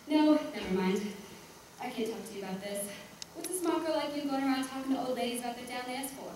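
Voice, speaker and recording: A woman plays an elderly woman in a stage monologue, reluctant and blunt yet chatty. Her voice sits fairly high, and she keeps interrupting herself with dismissive asides. It is heard from a distance in a very echoey room. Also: darkish tone, close to an everyday voice. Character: reluctant, embarrassed seventy-two-year-old woman, chatty and blunt. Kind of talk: theatrical monologue. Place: echoey room, recorded from far off. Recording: echoey room, distant mic, faint noise.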